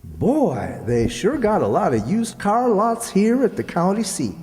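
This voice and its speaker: An actor playing a naive, dryly wisecracking rustic sidekick in old-time radio comedy, with a folksy, hesitant drawl. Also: male voice, sits high, wildly swooping pitch, unhurried, darkish tone, heavily acted. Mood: naive wonder. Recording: echoey hall with long reverb.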